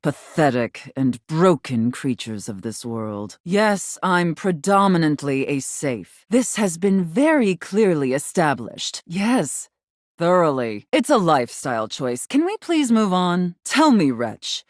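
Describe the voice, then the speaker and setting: A woman voicing a haughty, contemptuous mistress in a video game, imperious and condescending, with drawn-out, teasing menace. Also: low voice, big pitch swings, no room sound, studio quality, full character voice. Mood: haughty, exasperated. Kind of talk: theatrical scripted game dialogue.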